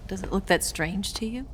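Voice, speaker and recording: A woman's voice: mid-range voice, a little hiss or hum. An actress playing a guarded, wry female spy in a video game, speaking in a cool, intimate way.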